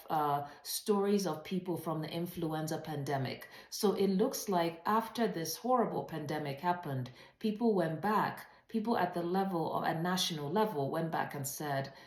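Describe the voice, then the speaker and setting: A woman's voice: on the low side, echoey room. A woman presenting a writing webinar in an earnest, emphatic, conversational way, putting rhetorical questions to her listeners. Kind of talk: webinar talk, conversational teaching.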